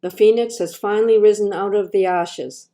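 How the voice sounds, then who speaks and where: A woman's voice: medium pitch, fairly even intonation, normal speed, dark tone. Older woman reading her personal essay. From Canada. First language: Japanese. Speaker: artist.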